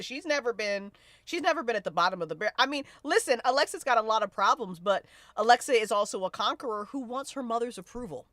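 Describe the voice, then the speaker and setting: A woman leads a casual panel discussion in a bright, forward voice. Her pitch swings widely as she makes slangy, emphatic points. The sound is dry and close, with no room around it. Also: dry recording. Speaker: host leading a fan discussion panel. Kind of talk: casual panel chat about a fiction podcast.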